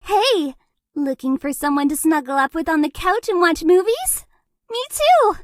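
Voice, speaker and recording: A woman's voice: very high-pitched, expressive, a little slow, dry recording, clean studio sound. A woman voicing a sweet, awkward young female ghost for a video game, hesitant, gushing and apologetic. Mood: awkward, cheerful. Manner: hesitant, bubbly, gushing, self-deprecating. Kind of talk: cute video game character acting.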